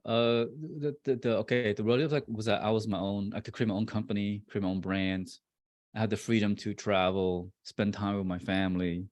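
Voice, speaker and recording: A man in his forties with a low, deep voice answers an interviewer's questions about his career. He talks in a halting way, full of fillers and restarts. The sound is dry and close. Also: flat delivery, darkish tone, no echo. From born in Vietnam, raised in New Jersey. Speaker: guest, real estate investor.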